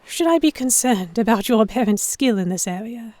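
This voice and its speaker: A woman's voice: a little high, animated, brisk, darkish tone, dry recording, natural voice. Woman acting stubborn, flustered young noblewoman, close dry sound. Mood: indignant, teasing. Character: stubborn, flustered young noblewoman narrating her own abduction tale. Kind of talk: first-person audio drama narration.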